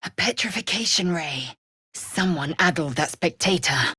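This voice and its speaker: A fierce alien warrior woman from a fantasy video game, husky and breathy. She speaks in measured, clipped phrases full of disdain, and her pitch swings widely from low to sharply raised. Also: lively intonation, sharp tone, dry recording, clean studio sound, exaggerated voice. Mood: curt, appraising. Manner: clipped, imperious, disdainful delivery. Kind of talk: video game character voice lines.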